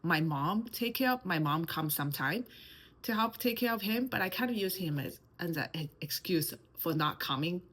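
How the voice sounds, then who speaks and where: A woman's voice: fairly low pitch, lively intonation, moderate pace, a bit bright, husky. A woman in her thirties from China with a Mandarin accent, talking hesitantly to camera in a personal vlog, with many fillers and restarts. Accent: Mandarin Chinese. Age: 30s. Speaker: channel host.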